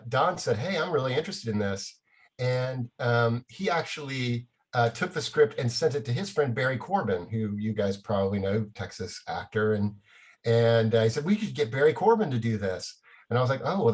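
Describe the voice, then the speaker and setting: A middle-aged man with a medium-pitched voice recounts anecdotes casually. His delivery is animated and self-deprecating, with chuckles along the way. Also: moderate pace. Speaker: playwright.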